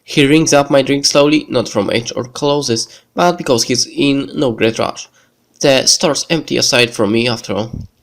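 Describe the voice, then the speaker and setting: A man who is a bit sick hosts a let's play, reading a visual novel script aloud in a halting, stumbling way. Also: mid-range voice. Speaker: let's play video host. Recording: music behind the voice. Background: background game music.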